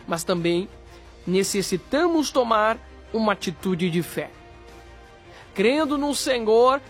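A man with a high-pitched voice and a Brazilian accent preaches slowly and solemnly. He exhorts the listener in deliberate phrases over background music. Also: a bit bright. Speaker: preacher, religious program host. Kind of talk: sermon, exhortation.

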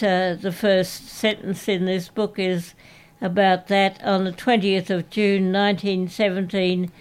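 A woman's voice: medium pitch, clear, resonant tone, low-quality recording. An Australian woman from Canberra, co-hosting a community radio show and talking unhurriedly about a book in the studio. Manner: unhurried, reads aloud from a book. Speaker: radio co-host. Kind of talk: casual radio chat.

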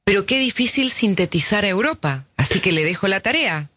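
Bright-voiced woman hosting radio. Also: mid-range voice, expressive, a little fast, no echo. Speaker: radio host. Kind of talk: live radio interview.

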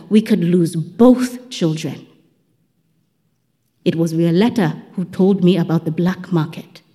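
Woman reading an audiobook story, soft mellow tone, long echoey reverb. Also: fairly low pitch. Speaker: audiobook narrator. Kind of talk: scripted first-person literary story narration.

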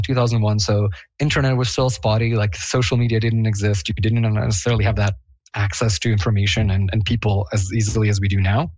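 A man's voice: on the low side, a little mellow, dry recording. A man from Bosnia and Herzegovina, a guest on an interview, talking casually and enthusiastically with lots of fillers. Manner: enthusiastic, chatty, laughs often, many fillers. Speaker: guest, Eurovision fan.

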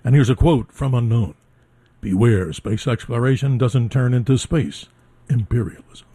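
A man's voice: medium pitch, normal speed, warm tone, slightly rough, no room sound. A man in his seventies hosting a radio call-in show, introducing guests and callers. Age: 70s. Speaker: radio talk show host.

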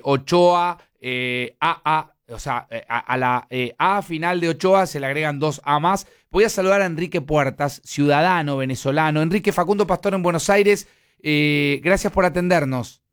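A man's voice: on the high side, normal speed, fairly bright tone, smooth. A man with an Argentine accent hosting a live news interview, speaking with many fillers and restarts. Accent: Argentine Spanish. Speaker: radio news host.

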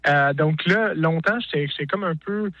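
A man's voice: on the high side, normal speed, no room sound, dull audio. A male singer-songwriter with a Québécois French accent, talking casually in an interview, hesitant with many restarts and fillers.

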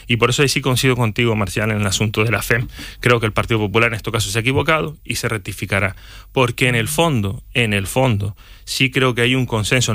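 A man's voice: mid-range voice, bright, forceful tone, dry recording, clean studio sound. A man, arguing his points as a panelist in a televised political debate. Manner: argumentative, reasoned, with self-corrections.

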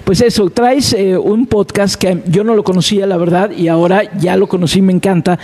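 A woman's voice: mid-range voice, steady tone, normal speed, dark tone, big-room sound. A Mexican woman in her fifties hosting a broadcast interview, talking conversationally with fillers and repeated words. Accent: Mexican Spanish. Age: 50s. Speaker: host.

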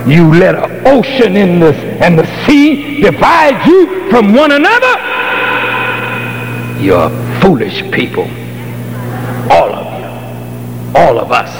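Male preacher, somewhat high voice, unhurried, fierce, recorded with a big hall echo. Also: gravelly. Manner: impassioned, scolding, rising to shouts. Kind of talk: fiery sermon with call and response. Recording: long hall reverb, steady hum.

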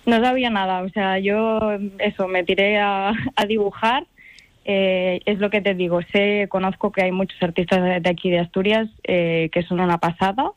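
A woman's voice: medium pitch, steady tone, normal speed, dry recording. Hesitant young woman on a muffled radio line. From Spain. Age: 20s. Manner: chatty, hesitant, many fillers and restarts. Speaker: guest artist. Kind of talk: radio interview answers.

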